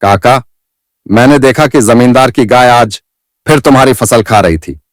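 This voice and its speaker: An earnest young villager in a cartoon moral story, acted by a man. His voice is mid-pitched and he reasons things out as a loyal helper. The recording is dry and close. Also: moderate pace, no room sound, close to an everyday voice. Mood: concerned. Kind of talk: Hindi animated moral story dialogue.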